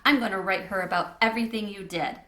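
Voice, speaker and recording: A woman's voice: medium pitch, fast, a bit bright, natural voice. A wistful young girl away at summer camp read by a woman speaks in a storybook. She is homesick, and the room around the voice echoes.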